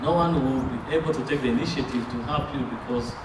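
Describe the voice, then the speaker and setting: A man interpreting a sermon line by line, halting, with fillers and restarts. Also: medium pitch, far from the mic. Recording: echoey room, picked up from afar, noisy.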